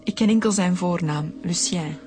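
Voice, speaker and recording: A woman's voice: lowish voice, normal speed, music in the background, close to an everyday voice. Woman playing earnest, shaken Flemish witness. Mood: earnest recounting. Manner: defensive, earnest recounting. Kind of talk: radio drama acting.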